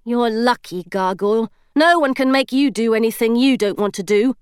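A woman's voice: on the high side, moderate pace, smooth, dry recording, studio quality, close to an everyday voice. A woman reading aloud, voicing a spirited, stubborn young girl who speaks earnestly. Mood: wry.

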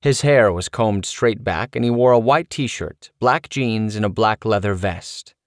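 A middle-aged man narrating his own memoir as an audiobook, telling it in an animated, expressive way. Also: on the low side, unhurried, no echo, studio quality.

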